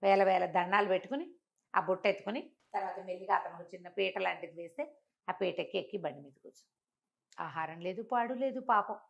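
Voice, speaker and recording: A woman's voice: fairly low pitch, fairly quick, creaky, muffled recording. Woman telling a folk tale conversationally. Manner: explanatory, uses repetition and acts out dialogue in passing. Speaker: storyteller. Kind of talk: conversational oral storytelling of a moral folk tale.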